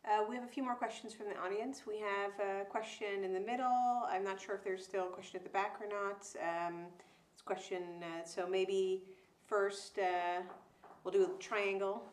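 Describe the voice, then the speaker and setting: A woman's voice: medium pitch, fairly even intonation, moderate pace. A woman moderating audience questions, speaking briefly with hesitant fillers. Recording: echoey room.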